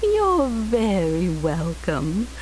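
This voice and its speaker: A woman's voice: medium pitch, wildly swooping pitch, very slow, a little mellow, slight background noise, full character voice, poor audio. A woman voicing a gracious, fond older household servant in a video game, genteel and courteous in her speech. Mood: warm, fond.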